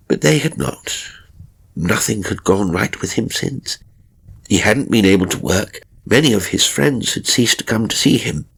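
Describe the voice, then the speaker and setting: A man voicing a timid, anxious novelist who recounts a haunting in a measured, theatrical horror audiobook style, with a gravelly, husky voice. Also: deep voice, a bit bright, heavily acted. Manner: measured, theatrical, hesitant pauses. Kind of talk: dramatic first-person horror audiobook narration.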